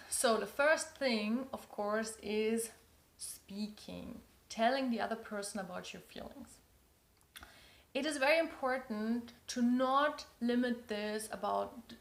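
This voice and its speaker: A woman's voice: a little high, vocal fry. Earnest German-accented woman, echoey room. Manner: earnest, repeats words for emphasis. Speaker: relationship coach. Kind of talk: advice talk to camera.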